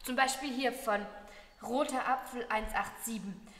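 Teen girl, bright and earnest, echoey classroom sound. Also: on the high side, fairly even intonation, measured pace. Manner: earnest, reading comments aloud. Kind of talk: amateur short film acting. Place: classroom indoors.

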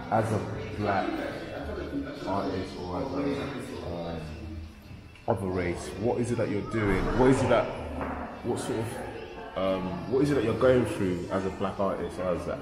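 A man in his thirties from Sweden, a painter talking casually and reflectively about his work, with halting restarts. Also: sits low. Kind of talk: casual interview chat. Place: indoor art gallery with pillars. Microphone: camera mic at close range. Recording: echoey gallery with loud music behind.

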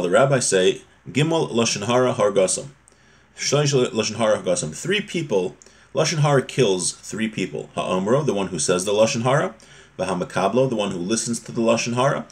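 A man, around his thirties or forties, giving an informal religious lesson, reading and explaining, often rephrasing his points. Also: lowish voice. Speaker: religious teacher. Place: small home room, indoors.

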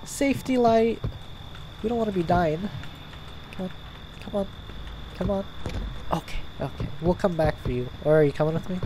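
A young man with a stuffy nose, chatting and reacting out loud to scares as he plays a horror game at home. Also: sits high, moderate pace, long pauses, background noise. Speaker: gaming YouTuber. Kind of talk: horror game let's play commentary. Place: home gaming room, indoors.

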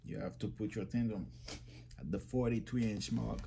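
Young man, low mellow voice, casual rambling vlog talk, small room, phone camera, faint hum behind. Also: moderate pace, creaky. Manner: rambling, repeats himself, addresses viewers as guys. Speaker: trucking vlogger.

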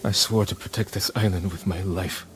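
Man playing a deep-voiced, solemn samurai warrior. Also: steady tone, music in the background, close to an everyday voice. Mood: grim resolve. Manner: solemn, measured, resolute. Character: grim, honor-bound young male samurai warrior seeking to save his uncle. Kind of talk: dramatic video game acting.

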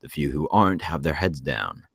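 A man narrating a screenplay at a table read in a measured storytelling delivery. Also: extremely low pitch, animated, gravelly, husky, no echo. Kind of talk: scripted narration of stage directions.

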